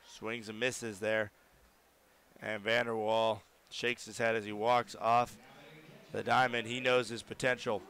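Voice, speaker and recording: Man, young adult, baseball play-by-play caller, bright edgy tone, faint ambience behind. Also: on the low side, unhurried, slight background noise. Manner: steady, builds up on hits. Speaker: radio play-by-play announcer. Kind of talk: live baseball play-by-play commentary. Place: ballpark broadcast booth.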